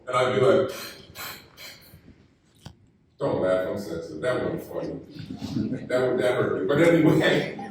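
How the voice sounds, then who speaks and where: A man giving a graduation keynote, telling stories in a slow, deliberate way. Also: on the high side. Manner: storytelling, slow deliberate delivery. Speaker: keynote speaker. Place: university ceremony hall. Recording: echoey hall, mic heard from a distance.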